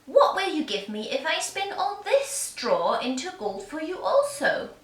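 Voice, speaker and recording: A woman's voice: high-pitched, wildly swooping pitch, measured pace, a bit bright, pitched above the performer's own voice, exaggerated voice. A woman voicing a small, sprightly, bargaining male mannikin in a children's puppet-show storytelling, chirpy and forward. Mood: eager, bargaining. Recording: roomy, distant mic, faint noise.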